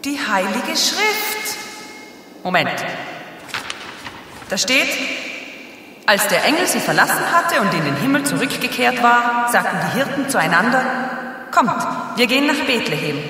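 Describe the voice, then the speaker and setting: An actress playing a formal, easily exasperated angel of the Lord in a satirical Christmas radio play. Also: female voice, fairly high pitch, very animated, measured pace, pauses often, pushed and bright, full character voice. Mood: formal, insistent. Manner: solemn, formal, scriptural proclaiming. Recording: heavy echoing reverb, distant, faint noise.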